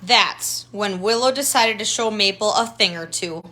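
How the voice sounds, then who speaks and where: A woman's voice: medium pitch, normal speed, sharp tone. A woman tutor reading a picture book aloud to children, adding simple explanatory asides and pointing things out. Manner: simple child-directed phrasing, pointing things out with questions and remarks.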